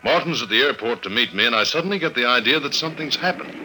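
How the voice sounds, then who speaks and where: An old radio recording with music carries a tough American secret agent. He talks in a clipped, wry, hard-boiled way at a middling male pitch, like the hero of a fifties adventure serial. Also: lively intonation, very fast, pushed and bright, poor audio. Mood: wry, tense narration. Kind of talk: 1950s radio adventure acting.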